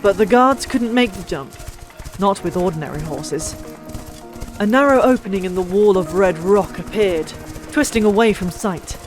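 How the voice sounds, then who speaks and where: A woman's voice: medium pitch, expressive, normal speed. A woman narrating a fantasy audiobook in a dramatic, theatrical storytelling style. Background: music.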